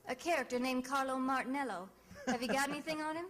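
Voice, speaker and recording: A woman's voice: sits high, quick pace, a bit bright. An actress playing a breezy, shrewd female private eye in old-time radio detective drama, wry, glib and teasing. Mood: teasing, guarded. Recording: vintage radio drama recording with music.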